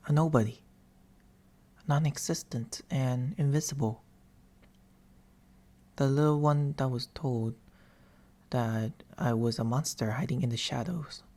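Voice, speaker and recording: A man voicing an eerie, patient sleep paralysis demon in an ASMR roleplay, half-whispering slowly and intimately into a close microphone with coaxing, theatrical phrasing. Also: mid-range voice, a little mellow. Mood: eerie, brooding. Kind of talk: ASMR roleplay, slow storytelling. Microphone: close binaural microphone.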